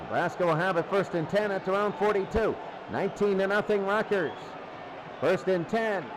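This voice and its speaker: High-voiced man calling football over noise. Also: normal speed. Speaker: play-by-play sports commentator. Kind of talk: American football radio-style play-by-play commentary.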